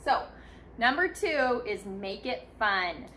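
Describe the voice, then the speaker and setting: Higher-pitched woman chatting over background noise. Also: big pitch swings, slow pace, pushed and bright. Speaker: mother sharing parenting tips. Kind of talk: casual instructional vlog talk.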